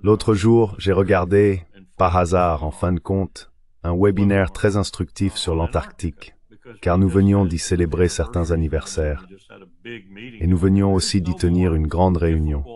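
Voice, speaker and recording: A man giving translated political commentary as a voice-over, explaining in a measured way. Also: deep voice, fairly even intonation, warm tone. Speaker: panel commentator.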